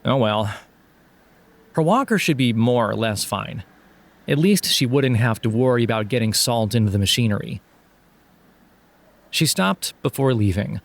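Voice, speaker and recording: A man narrating a scripted audio drama in a measured storytelling delivery. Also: fairly low pitch.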